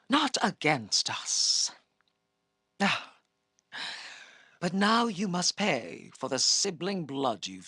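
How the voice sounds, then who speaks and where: A proud, menacing half-dragon warlord from a fantasy game. Her voice is husky and forceful, swinging widely in pitch as she delivers formal, scornful decrees. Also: dry recording, studio quality, exaggerated voice. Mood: defiant. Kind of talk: scripted fantasy video game acting.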